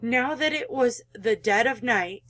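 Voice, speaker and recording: A woman's voice: fairly high pitch, expressive, a little slow, fairly bright tone. A woman hosting a gaming video, reading a visual novel aloud and commenting with emotional, indignant feeling.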